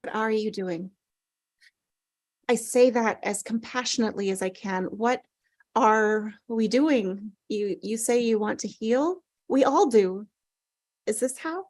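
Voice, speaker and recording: Actress as halting, grieving older mother, close dry sound. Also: a little high, a little mellow, dry recording. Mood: pained, guarded. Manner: halting, searching for words. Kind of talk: scripted drama table read.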